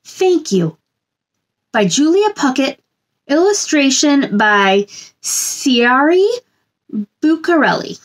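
High-pitched woman reading slowly to children. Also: sing-song. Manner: gentle sing-song delivery addressed to young children. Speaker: children's storytime host reading a picture book aloud. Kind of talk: children's read-aloud with chatty asides. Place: small indoor room.

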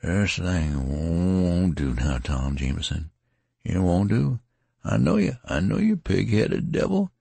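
A man's voice: medium pitch, big pitch swings, slow, creaky, a little mellow, breathy edge, dry recording, pitched above the performer's own voice, full character voice. A man voicing a wounded, ragged soldier who rambles on in a folksy country dialect. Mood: slurred, pleading. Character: wounded ragged male soldier, garrulous and rustic.

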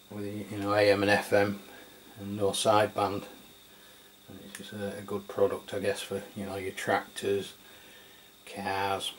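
A middle-aged man giving a casual, unscripted product demonstration, thinking aloud in a tentative way. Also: on the low side. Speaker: radio product reviewer. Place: indoors at a tabletop. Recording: clear background noise, room ambience.

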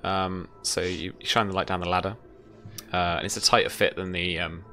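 A man's voice: sits low, level tone, fairly quick, sharp tone, faint music behind. A young man running a tabletop roleplaying game, narrating scenes and rulings in a casual way. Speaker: game master. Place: indoor room with bookshelves, on a video call.

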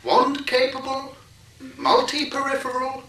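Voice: sits high, completely flat delivery, unhurried, pushed and bright, echoey room, far from the mic, rough recording. An actor in a radio drama playing a proud, salesmanlike school computer terminal with clipped, mechanical delivery. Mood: boastful, chirpy.

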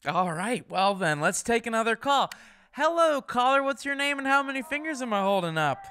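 A man's voice: very high voice, sing-song, a little fast, pushed and bright, slight background noise, heavily acted. A man playing a wry, theatrical horror radio co-host in an audio drama, with flowery, jokey banter.

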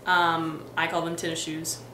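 A woman's voice: sits low, completely flat delivery, moderate pace, pushed and bright, echoey room, slight background noise, rough recording. A young woman from Texas and Missouri with a light Midwestern accent, chatting casually to a webcam in her bedroom, full of ums and restarts. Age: young adult. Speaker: vlogger. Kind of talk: casual accent tag vlog. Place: bedroom, indoors.

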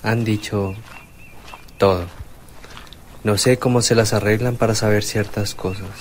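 A man's voice: lowish voice, steady tone, unhurried, a little hiss or hum, natural voice. An actor playing an earnest, cautious and respectful male disciple in a religious radio play. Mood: earnest, worried. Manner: deferential, earnest. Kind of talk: radio drama acting.

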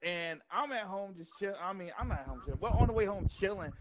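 A man with a high, very animated voice tells stories in a casual, slangy way, swearing freely. He acts out each bit of dialogue. The game chat recording is clearly noisy, with clicks. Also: fast talker. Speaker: gaming channel player telling childhood stories. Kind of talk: casual storytelling over online game chat.

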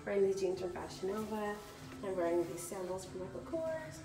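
A woman's voice: mid-range voice, sing-song, fairly quick. A young woman chatting casually to her phone camera for a vlog, rambling with lots of "like"s. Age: young adult. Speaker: vlogger.

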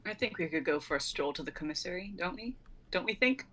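A woman's voice: on the low side, expressive, fast talker, fairly bright tone, slight background noise. A woman in her twenties playing a sassy, foul-mouthed cat in human form, flippant and sardonic, in a dramatic reading. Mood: breezy, flippant. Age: 20s. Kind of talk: amateur dramatic script reading. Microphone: close mic on a video call.

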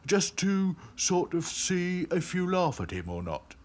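A man voicing Sad Sack, a timid little toy doll who speaks meekly in a slow, breathy voice. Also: high-pitched, sing-song, darkish tone. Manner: meek and slow.

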